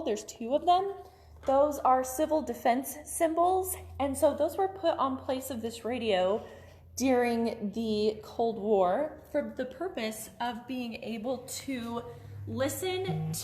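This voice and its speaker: A young woman with a fairly high voice gives an informal museum presentation to camera. She is chatty and friendly. The recording is clearly roomy, as if on a phone in a large hall a few feet away. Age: 20s. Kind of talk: informal artifact showcase to camera. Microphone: handheld phone camera a few feet away. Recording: roomy, echoey phone video indoors.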